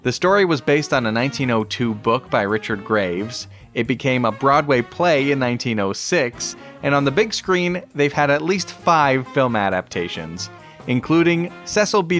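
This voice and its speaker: A man's voice: medium pitch, fairly bright tone. A man hosting a podcast, giving a scripted introduction to an episode. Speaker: podcast host.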